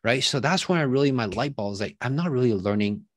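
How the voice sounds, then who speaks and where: A man, telling his story as a podcast guest in a relaxed, chatty way with many fillers. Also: medium pitch, fast talker, no room sound, clean studio sound. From Taiwan. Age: 30s. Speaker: podcast guest, real estate investor. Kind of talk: casual interview chat.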